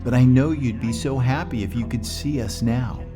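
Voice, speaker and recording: An actor playing a dotty, sweet elderly widowed father in an audio comedy, with a halting, doddering way of talking. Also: mid-range voice, music in the background, lightly acted. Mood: wistful. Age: older. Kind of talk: comedy audio drama acting.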